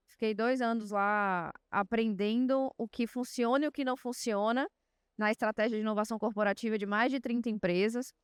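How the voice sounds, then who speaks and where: A woman's voice: on the high side, clean tone, no echo, clean studio sound. A woman from Salvador with a Bahian accent, speaking as a panelist and telling her career story fluently and confidently. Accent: Bahian Portuguese. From Salvador, Bahia, Brazil. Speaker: panelist, innovation executive. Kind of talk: panel talk.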